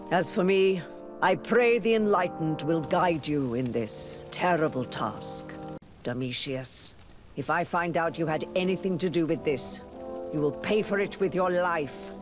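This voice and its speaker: A woman playing a stern, imperious high churchwoman in a video game, formal and commanding. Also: expressive, unhurried. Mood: stern, indignant. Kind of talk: video game dialogue acting. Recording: music behind the voice.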